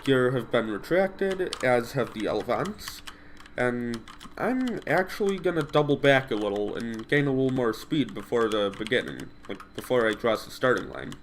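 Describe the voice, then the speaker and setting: A man's voice: mid-range voice, very animated, moderate pace, slight background noise. A man talks through his gameplay in a casual, rambling way, often correcting himself mid-sentence. His voice is a little stuffed up from a cold. Faint typing and room ambience sit behind his desk mic. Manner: rambling, self-correcting, thinks aloud. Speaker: gameplay commentator.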